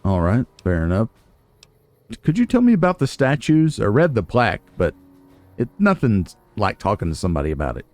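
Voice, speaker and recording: A man's voice: fairly low pitch, huge pitch swings, a little fast, darkish tone, dry recording. A man hosting a video game playthrough, chatting casually and musing aloud with wry asides. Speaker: let's play host. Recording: game music behind the voice.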